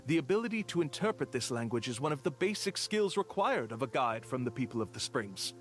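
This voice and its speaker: An actor playing a polite, reassuring tour guide in a video game, with an earnest sales patter. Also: male voice, mid-range voice, lightly acted. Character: smooth-talking male tour guide, polite, reassuring con artist.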